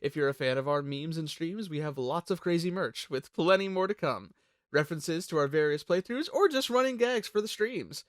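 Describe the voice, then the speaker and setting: A man hosting a scripted promotional outro as a voice actor, in a showy, animated manner. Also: a little high, normal speed, no echo, clean studio sound. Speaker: channel host and voice actor.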